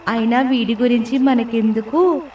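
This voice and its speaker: A woman's voice: fairly high pitch, moderate pace, dark tone, roomy sound, far from the mic, a little hiss or hum, natural voice. A woman voicing a carefree, judgmental golden fish musing to itself in a children's storybook tale. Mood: disapproving, breezy.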